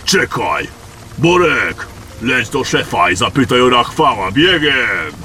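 A man acting a forceful, gruff caravan guard. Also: medium pitch, big pitch swings, slow, slight background noise, heavily acted. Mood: threatening. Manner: curt, barking. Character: gruff, threatening male caravan guard barking orders. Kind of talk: fantasy audio drama acting.

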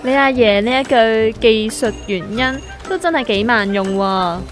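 A woman's voice: on the high side, normal speed, soft background music, lightly acted. A woman with a Hong Kong Cantonese accent playing a sensible, inquisitive friend in a comedic audio drama, questioning and wry.

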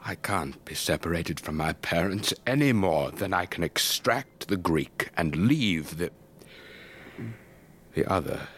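An actor playing an elderly English retired air force officer, telling his story in a measured, wistful, old-fashioned way for a radio drama. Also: low voice, animated, fairly bright tone, heavily acted. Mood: pained, reflective. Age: older. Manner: measured, old-fashioned, reflective, breathy trailing phrases. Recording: faint background noise.

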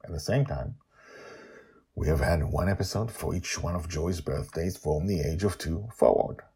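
A man's voice: low voice, moderate pace, gravelly, mellow, slightly rough. A man hosting a storytelling podcast, speaking in theatrical, emphatic short phrases. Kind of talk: dramatic podcast narration and commentary.

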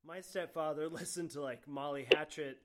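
A man's voice: a little high, steady tone, normal speed. A man who grew up in Florida, hosting a casual conversation, loose and chatty, full of "like" and the odd swear word. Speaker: podcast host.

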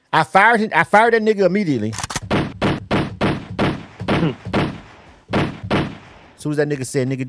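A middle-aged man from Florida hosting a radio interview, loose and slangy, rambling and peppering his talk with casual asides. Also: medium pitch. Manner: loose, slangy, rambling with frequent "you know what I'm saying". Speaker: radio host.

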